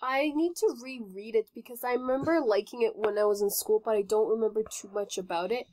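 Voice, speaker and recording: A woman's voice: on the high side, a little fast, a little mellow. A woman chatting casually as a guest on a podcast. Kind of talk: casual chat.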